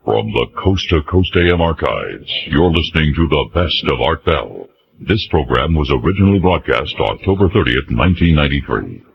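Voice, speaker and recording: Very deep, gravelly male radio announcer. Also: big pitch swings, normal speed. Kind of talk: scripted broadcast announcement. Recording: dull, noisy radio broadcast.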